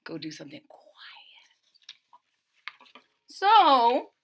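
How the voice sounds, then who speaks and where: A woman reading a picture book aloud to children, expressive and chatty, adding friendly asides between the pages. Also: a little high, drawn-out words. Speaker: storybook reader.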